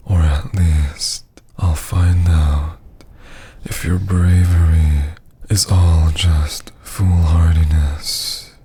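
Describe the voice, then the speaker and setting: An ancient, menacing vampire lord, acted by a man in an ASMR roleplay. The voice is low and very husky, sinking toward a whisper. Every phrase is drawn out extremely slowly, haughty and seductively taunting. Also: dark tone, heavily acted. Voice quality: breathy, husky near-whisper. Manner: slow, taunting, theatrical.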